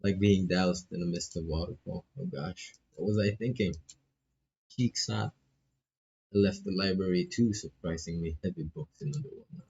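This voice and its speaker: A man's voice: low voice, moderate pace, vocal fry, a little mellow, lo-fi sound, a little hiss or hum. A man doing laid-back, casual live commentary on a visual novel, reading text aloud and swearing freely as he reacts.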